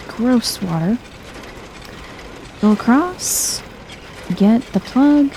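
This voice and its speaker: Casting: woman, soft-spoken game streamer, mellow with vocal fry, low-key and wry, some background noise. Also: poor audio. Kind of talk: soft-spoken ASMR let's play commentary.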